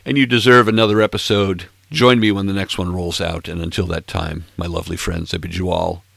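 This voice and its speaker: A man in his late sixties hosts a music podcast, chatting casually between tracks with playful, self-mocking asides. His voice sits very low with a gravelly edge and a bright, forceful tone, a little hoarse. The recording is dry and close. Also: little pitch movement, a little fast, dry recording. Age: 60s. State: slightly hoarse, froggy throat.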